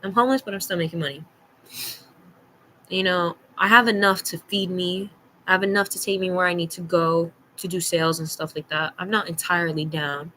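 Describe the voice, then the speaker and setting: A young woman with a bright, forward voice tells a personal story like a vlogger. She is blunt and slangy, leaning on key words and quoting conversations as she goes. There is faint noise behind her. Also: on the low side, steady tone, fairly quick. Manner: blunt, slangy, emphatic, acts out dialogue.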